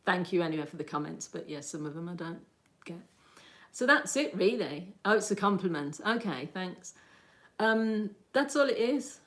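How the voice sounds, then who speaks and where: Chatty woman, slightly low voice. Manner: chatty and rambling, laughs often. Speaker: livestream host. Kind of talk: casual livestream chat, answering viewer comments.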